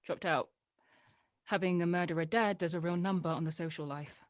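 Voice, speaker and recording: A woman's voice: on the low side, fairly even intonation, normal speed, no room sound, natural voice. A woman playing a guarded, sardonic young adult daughter in a drama table read, dry and blunt. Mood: guarded, bitter.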